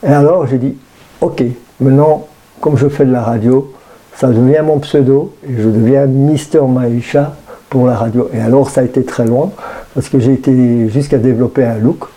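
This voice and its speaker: A man's voice: mid-range voice, fairly even intonation, normal speed, mellow, rough recording. A man around sixty with a Brussels Belgian French accent, a radio guest talking casually with many hesitations and fillers.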